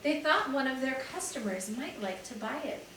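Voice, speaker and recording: A friendly young woman who explains things plainly, as a woman teacher reads her lines. Her voice is a touch high, and it echoes through a very echoey room from a distant mic. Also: animated, moderate pace, sharp tone, slight background noise. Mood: explaining.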